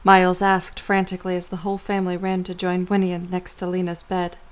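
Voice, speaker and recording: Lower-pitched woman narrating a story. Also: monotone, fairly quick.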